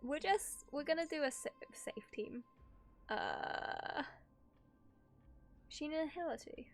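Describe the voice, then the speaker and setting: A woman's voice: high-pitched, animated, slow, gravelly, faint music behind. A woman game streamer giving casual, playful livestream reactions, giggly and often talking to herself.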